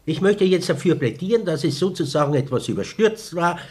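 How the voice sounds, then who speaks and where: A man's voice: a little high, animated, brisk, a little mellow, natural voice. A man playing an earnest, stubborn party comrade in a German radio play, speechifying in an officious, repetitive way. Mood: insistent.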